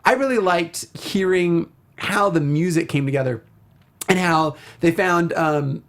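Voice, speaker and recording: A man's voice: on the high side, measured pace, a bit bright. A man hosting a casual discussion show, speaking in an enthusiastic, effusive way. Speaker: talk show host. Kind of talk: casual film and video game discussion.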